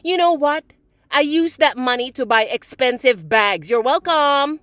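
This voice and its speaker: A woman voicing a bratty, spiteful young stepsister who talks in a shrill, mocking, bossy way. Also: animated, a little slow, a bit bright, dry recording, soft background music. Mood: gloating. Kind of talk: voiced text-message drama.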